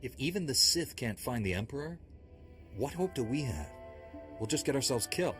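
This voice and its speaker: An actor playing an earnest, questioning young hero of a Jedi order in a video game, speaking directly. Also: male voice, mid-range voice, big pitch swings, normal speed, a bit bright, faint music behind. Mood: doubtful. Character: earnest, doubting male Jedi knight hero. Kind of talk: video game cutscene acting.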